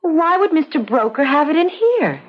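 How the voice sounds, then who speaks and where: An actress playing an anxious, bewildered young wife in a radio mystery drama, hesitant and fretful. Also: female voice, high-pitched, very animated, moderate pace. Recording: dull lo-fi radio recording.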